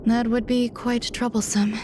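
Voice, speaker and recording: A woman voicing a detached, secretive genius scientist in a video game, speaking in a measured, soft way. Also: on the high side, flat delivery, a little hiss or hum, close to an everyday voice. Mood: calm, wistful. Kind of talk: scripted video game voice acting. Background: music.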